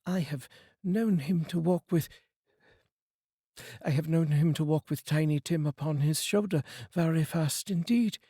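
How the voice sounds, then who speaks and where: A man voicing a gentle, grieving mother of a poor family, speaking tenderly in an audiobook reading, with a husky voice. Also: a little high, unhurried, no room sound, clean studio sound, pitched above the performer's own voice. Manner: tender, consoling.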